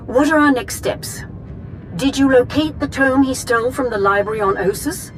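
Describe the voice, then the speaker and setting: An actress playing a calm, imperious Sith empress in a game cutscene. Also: female voice, sits high, moderate pace, soft background music, lightly acted. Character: regal female Sith empress, cool and imperious. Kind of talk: video game cutscene acting.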